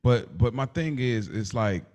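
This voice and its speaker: A man's voice: medium pitch, expressive, a little slow, a little mellow. A man chatting casually as a podcast co-host, telling stories in loose slang and acting out what people said.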